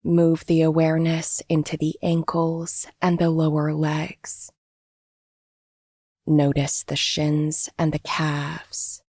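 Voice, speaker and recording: Female meditation guide, slightly low and breathy-husky, gentle scripted delivery, recorded very dry. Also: no echo. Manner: gentle, unhurried, instructional. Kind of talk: guided body scan meditation, scripted narration.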